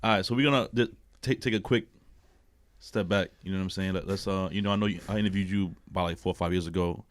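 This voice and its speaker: A man's voice: sits low, steady tone, fast talker, dry recording. A man hosting a podcast, asking questions in a laid-back way and leaning on filler phrases. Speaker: podcast host. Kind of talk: casual interview.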